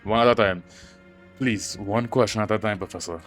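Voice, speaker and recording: A man's voice: fairly low pitch, fairly even intonation, moderate pace. A man giving casual, slangy playthrough commentary, joking and talking back to the screen. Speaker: gaming streamer. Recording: game music behind the voice.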